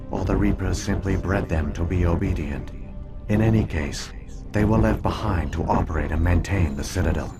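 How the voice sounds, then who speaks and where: Grave, low-voiced ancient machine mind. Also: male voice. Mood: analytical, measured. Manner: measured, formal, explanatory. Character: calm ancient alien artificial intelligence, grave and patient. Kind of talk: scripted video game exposition.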